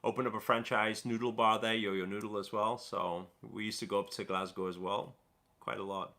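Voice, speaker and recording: Gravelly, bright-voiced man hosting a live Q&A. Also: fairly low pitch, steady tone, fairly quick. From Newcastle, England. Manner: chatty, loose, many fillers and restarts. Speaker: livestream host. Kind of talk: casual live Q&A, reading viewer comments aloud.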